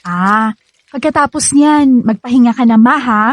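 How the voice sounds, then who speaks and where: Filipina actress as caring young daughter, radio drama, really high cheery voice, dry studio sound. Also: female voice, moderate pace, no room sound, natural voice. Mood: caring, cheerful. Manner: affectionate, cheerful. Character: sweet, caring young stepdaughter.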